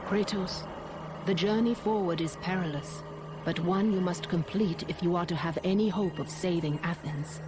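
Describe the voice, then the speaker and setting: Low-voiced, stately goddess played by a woman. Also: noisy background, natural voice. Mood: grave, guiding. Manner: grave, formal, deliberate. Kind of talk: video game cutscene acting.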